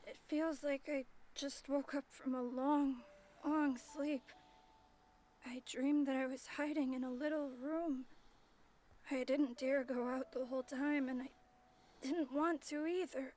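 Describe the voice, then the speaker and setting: A woman's voice: high voice, fairly even intonation, slow, pauses often, a little mellow. A woman voicing a timid, confused little monster boy in a video game, speaking in a hesitant, childlike way. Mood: groggy, timid. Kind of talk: dramatic game voice acting.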